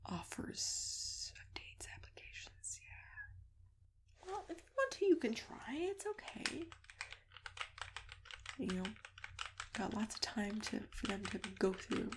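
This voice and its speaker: Soft whispery ASMR woman with creaky voice. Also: medium pitch. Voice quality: soft, breathy, often dropping to a whisper. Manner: gentle, chatty, hesitant, reassuring. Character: friendly female matchmaker for monsters. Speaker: ASMR host playing a matchmaker. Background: computer keyboard typing and clicks.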